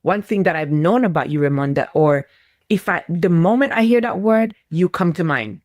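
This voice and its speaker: A woman's voice: lowish voice, moderate pace, a little mellow, no echo, clean studio sound. A woman with a Jamaican accent, a business mentor speaking in a motivational conversation, repeating her key phrases for emphasis.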